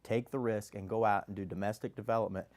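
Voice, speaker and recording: A man from Kansas with a blended Texas, Louisiana and Midwest accent, confidently pitching his oil company as a radio guest. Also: fairly even intonation, quick pace, darkish tone. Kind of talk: sales pitch interview answers.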